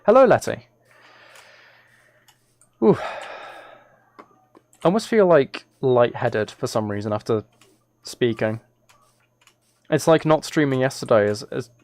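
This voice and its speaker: A man's voice: medium pitch, expressive, measured pace, long pauses, a little mellow, dry recording. Man streamer rambling casually. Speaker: live streamer. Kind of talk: casual live stream chat while gaming.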